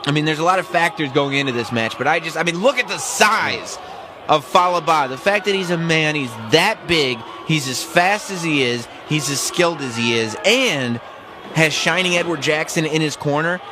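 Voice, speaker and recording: A man calling a wrestling match as a color commentator, excitable and often shouting for emphasis. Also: a little high, normal speed, noisy background. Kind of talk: live play-by-play commentary.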